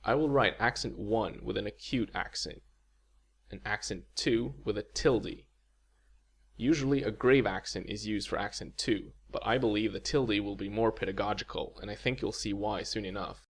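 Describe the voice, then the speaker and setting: A man delivers a scripted video lecture in a low, slightly husky voice. He explains step by step in a clear, measured way. He pauses to say example words for the listener to repeat. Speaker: language teacher.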